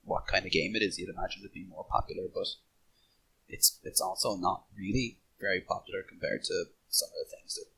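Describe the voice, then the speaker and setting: A man's voice: deep voice, fairly quick, vocal fry, pushed and bright, breathy edge, low-quality recording. A man in a casual podcast discussion, thinking aloud in a hesitant way as he gives his opinions.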